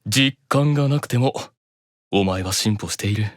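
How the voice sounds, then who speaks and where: A man voicing a composed, commanding demon from an anime game, slow and deliberate, stern yet seductive. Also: on the low side, no room sound, clean studio sound, pitched below the performer's own voice, natural voice. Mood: stern encouragement.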